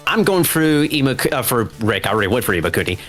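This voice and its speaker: A man's voice: medium pitch, big pitch swings, quick pace, fairly bright tone, soft background music. A male speedrunner chatting casually and thinking aloud while he plays. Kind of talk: casual play-by-play while gaming.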